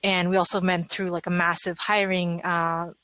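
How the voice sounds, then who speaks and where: A woman's voice: on the low side, little pitch movement, moderate pace, a bit bright, no room sound. A woman speaking as an engineering director, answering in a conference panel discussion.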